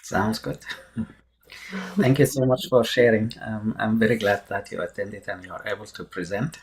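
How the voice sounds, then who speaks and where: A man's voice: on the low side, steady tone, moderate pace. A man hosting an online meeting, talking casually with frequent fillers over a video call. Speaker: meeting host.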